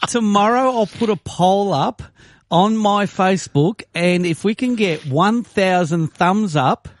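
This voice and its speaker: A man in his forties with an Australian accent, chatting casually as a radio host, teasing and animated, with Italian words mixed in. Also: sits high, measured pace, no room sound, clean studio sound. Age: 40s.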